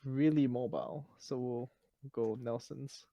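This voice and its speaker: Brief: male tabletop gamer, medium-pitched voice, casual conversational delivery, dry close recording. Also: steady tone, measured pace, vocal fry, warm tone, no room sound. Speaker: tabletop RPG player. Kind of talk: casual game-table chat.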